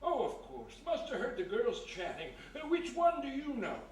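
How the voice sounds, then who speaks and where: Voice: medium pitch, huge pitch swings, normal speed. A performer playing a friendly, folksy old backstage doorman in a live radio mystery play. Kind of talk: 1940s radio mystery acting, live on stage. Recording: echoey live room, distant mic, faint noise.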